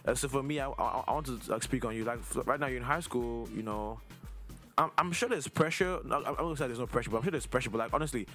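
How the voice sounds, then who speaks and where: A man's voice: mid-range voice, fast talker, bright, forceful tone, faint music behind. A man in his twenties from Nigeria, hosting a casual interview in an informal, rambling way that circles around his questions. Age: 20s. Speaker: host interviewing a younger relative.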